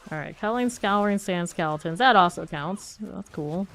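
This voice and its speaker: A congested woman with a lowish voice, chatting to herself as she streams a game. She thinks aloud in a loose, self-questioning way and keeps a sniffly edge from a cold throughout. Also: normal speed, fairly bright tone. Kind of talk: casual gameplay commentary.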